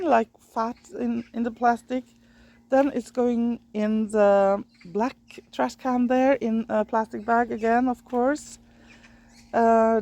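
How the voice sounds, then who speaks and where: A woman with a Norwegian accent explains things off the cuff for a vlog in a fairly high voice. She speaks slowly and hesitantly, often searching for English words. There is faint ambient noise behind her. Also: darkish tone. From Norway. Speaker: vlogger. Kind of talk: unscripted explanatory vlog talk.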